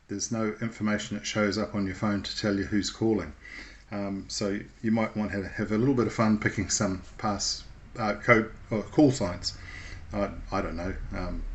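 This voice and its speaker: Low-voiced man giving an informal tutorial. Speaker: instructor presenting a radio how-to video.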